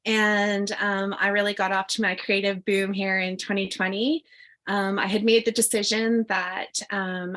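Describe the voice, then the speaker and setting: A woman's voice: medium pitch, bright, forceful tone. A middle-aged woman introducing herself as an artist on an online panel, friendly and using fillers. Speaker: mosaic artist guest. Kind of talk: self-introduction in a panel talk.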